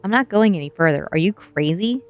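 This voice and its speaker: Woman reading as a nervous teenage boy, lowish voice. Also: animated, brisk, soft background music, close to an everyday voice. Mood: frightened protest. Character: nervous, handsome teenage boy, reluctant but friendly. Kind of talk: storybook character dialogue.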